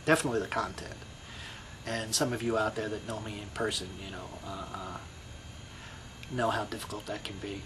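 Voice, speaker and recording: A man's voice: on the low side, steady tone, a little fast, background noise. A middle-aged man with a Brooklyn accent, talking in a rambling, reflective way to his video blog, full of you-knows and restarts. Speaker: video blogger. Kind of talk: casual personal monologue.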